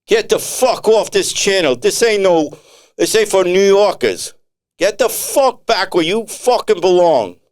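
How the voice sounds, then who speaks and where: A man's voice: fairly high pitch, unhurried, voice pushed up, natural voice. A man doing a foul-mouthed, threatening bayman. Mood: menacing. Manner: gruff, threatening, swearing. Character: hostile, foul-mouthed local bayman on the radio.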